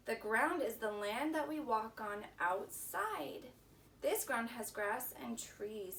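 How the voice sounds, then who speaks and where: A woman's voice: on the high side, fairly bright tone. A woman teacher leading story time for young children, prompting them and repeating words for them to echo. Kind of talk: instructional talk to young children. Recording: distant mic with faint background noise.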